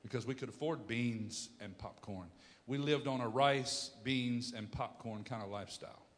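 A pastor in his fifties preaching a sermon, emphatic, swinging from loud bursts to hushed storytelling. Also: mid-range voice, normal speed, big-room sound.

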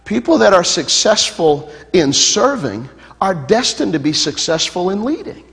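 A male pastor with a notably high voice preaches to a congregation, stacking repeated phrases as he builds to loud, fervent peaks. He breaks off for jokes with the crowd. His voice carries a long reverb from a large hall. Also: big pitch swings, unhurried, vocal fry. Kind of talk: sermon.

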